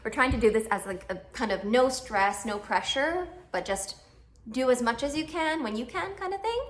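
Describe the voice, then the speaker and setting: A woman's voice: fairly high pitch, expressive, normal speed. A woman in her thirties from Canada, chatting casually to camera in a vlog, self-deprecating and full of fillers. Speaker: vlogger.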